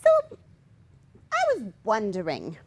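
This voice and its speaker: A woman's voice: medium pitch, wildly swooping pitch, slow, darkish tone, exaggerated voice. A woman acting a prim, fussy, hesitant older mother in a staged comedy reading. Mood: self-effacing. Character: prim, easily scandalised older mother, self-effacing and nosy.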